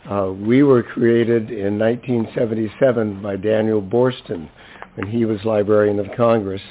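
Hoarse, low-voiced man giving an introduction. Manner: chatty, lightly humorous. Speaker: library center director introducing a speaker. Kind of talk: informal public introduction speech. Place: public event at a library. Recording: thin, phone-like event recording.

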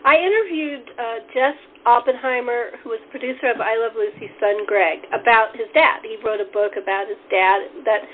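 Female host, high register, conversational interview style, light background noise on a remote call. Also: moderate pace, hardly any pauses. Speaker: host and radio playwright. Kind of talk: casual cast interview chat. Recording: noisy, muffled remote call audio.

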